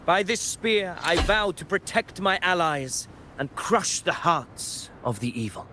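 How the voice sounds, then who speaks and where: A man's voice: sits high, lively intonation, measured pace, background noise. A man voicing a grandiose, zealous spear-wielding champion in a video game, theatrical and declamatory. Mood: heroic fervor.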